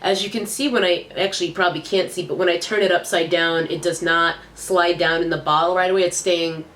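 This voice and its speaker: A woman's voice: fairly low pitch, steady tone, a little fast, fairly bright tone, a little hiss or hum. A young woman reviewing hair products in a chatty, upbeat vlog, sounding congested and nasal from a cold. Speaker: beauty vlogger. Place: small room indoors.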